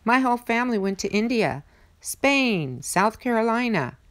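A woman's voice: fairly high pitch, big pitch swings, moderate pace, voice pushed up. A schoolchild from a picture book speaks up in a raised voice , played by a woman reading aloud. The phrasing is simple and childlike. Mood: proud, eager.

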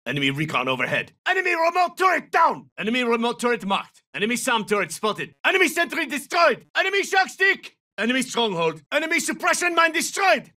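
A tough video game soldier with a high, hugely varied pitch. He delivers terse tactical callouts in a clipped, commanding way. The sound sits a little distant from the mic. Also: big pitch swings, fairly quick, hardly any pauses, pushed and bright, heavily acted. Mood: urgent, shouting. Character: tough, terse male special forces soldier. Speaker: video game operator voice actor. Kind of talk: military shooter game callouts, short barked lines.

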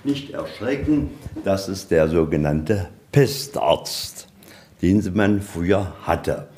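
A man with a very low, soft-edged voice leads a museum tour. He talks in plain, colloquial language and lays out each exhibit one step at a time. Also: animated, takes it slowly, a little hiss or hum. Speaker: museum guide. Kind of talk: explaining exhibits on a guided tour. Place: inside a museum, on location.

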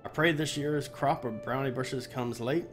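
An earnest military captain from a fantasy game, read aloud by a man. The delivery is formal and measured at a middling pitch, with a cold and the odd stumble. Game music plays behind. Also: close to an everyday voice. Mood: grave, formal. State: has a cold. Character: earnest military captain giving a quest briefing. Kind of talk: quest text read aloud.

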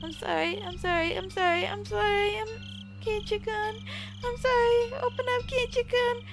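A high, sing-song teenage girl, as a woman reader puts her on, sweet and creepy, turning to shrill, cracking pleas. Soft music and crickets sit behind the storybook voice. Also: normal speed, natural voice. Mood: frantic pleading. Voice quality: high, pleading, cracking into shrieks.

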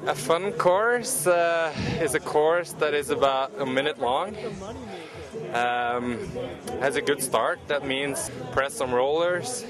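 A man, an athlete, explaining racing in a relaxed way outdoors into a handheld mic. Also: fairly high pitch, takes it slowly, over music. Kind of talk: casual interview answers. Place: outdoors at a ski course.

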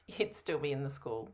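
Female coaching client, 30s, deep register, casual, unsure of herself, candid on a video call. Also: a little mellow. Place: home room, on a video call.

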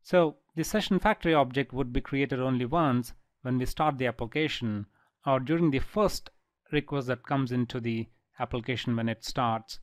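A man teaching a programming tutorial over a screen recording, calmly explaining each step. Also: medium pitch, moderate pace, no room sound. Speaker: programming instructor.

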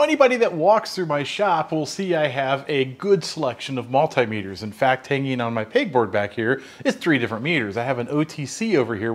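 A man's voice: fairly high pitch, husky. A man from the United States with an American accent gives a conversational, explanatory hands-on tool review in a workshop. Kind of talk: hands-on product review and demonstration. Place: home workshop at a workbench.

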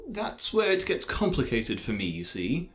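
A man playing a formal, guarded college teacher in an audio drama, choosing measured words. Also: medium pitch, wildly swooping pitch, a bit bright. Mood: defensive. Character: male college science teacher, defensive, formal, secretive adulterer. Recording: music behind the voice.

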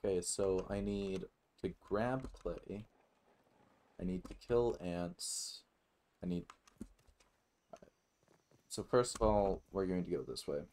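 Guy streaming a game, sweary and muttering, slow talker, bit of noise behind. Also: low-pitched, darkish tone. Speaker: gaming streamer. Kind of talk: casual game commentary.

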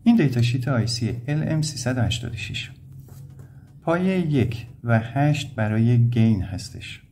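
A man's voice: mid-range voice, lively intonation, a little mellow. A man from Iran explaining step by step as an electronics instructor, in a plain, methodical way. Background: background music.

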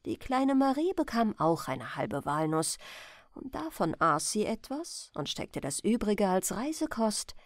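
A woman reading a bedtime fairy tale as an expressive, gentle audiobook narrator. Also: medium pitch, unhurried, no echo, clean studio sound. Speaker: storyteller, audiobook reader.

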